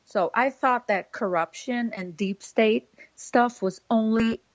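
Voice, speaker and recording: A woman's voice: on the high side, slow, no room sound. Lady podcast cohost, rambly casual chat, dull lo-fi audio. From Brazil. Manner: chatty, rambling, full of fillers and laughs. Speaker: podcast co-host.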